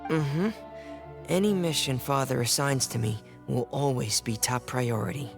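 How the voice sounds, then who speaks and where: A man's voice: medium pitch, a little slow, vocal fry. A man voicing a timid, dutiful teenage boy in a video game, with a hesitant, earnest and polite manner. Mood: earnest, reserved. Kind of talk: dramatic video game voice acting. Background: music.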